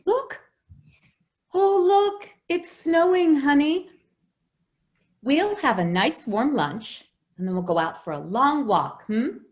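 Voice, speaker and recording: A woman playing a gentle, fussing nanny who coaxes and soothes with forced cheer in an old-time radio drama. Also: high-pitched, animated, slow, long pauses. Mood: brightly distracting. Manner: coaxing, soothing, falsely cheerful. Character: gentle, fussing nanny minding a little girl.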